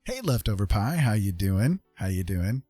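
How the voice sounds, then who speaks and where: Man, low voice, lively swinging intonation, playful and jokey, casual streamer chat, music playing behind. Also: moderate pace, slightly rough, no room sound. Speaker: live stream host and digital artist. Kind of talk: casual chat with live stream viewers, telling jokes. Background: background music.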